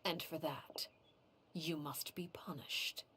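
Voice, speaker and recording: A woman's voice: sits low, steady tone, slow, pushed and bright, whispered. A woman voicing a cold, authoritative matriarch who passes judgment in game dialogue. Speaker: character in a game cutscene.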